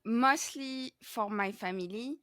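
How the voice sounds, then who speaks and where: A woman's voice: fairly high pitch, takes it slowly, fairly bright tone, no room sound, studio quality. A woman from France with a French accent chatting casually as a podcast host being interviewed. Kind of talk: casual conversational interview chat.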